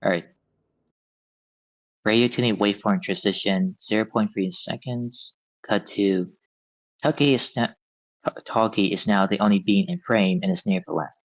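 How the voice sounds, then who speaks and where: A man's voice: fairly low pitch, normal speed, pauses often, no room sound. A man reading script directions aloud at a table read, on a slightly dull recording. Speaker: stage-direction reader.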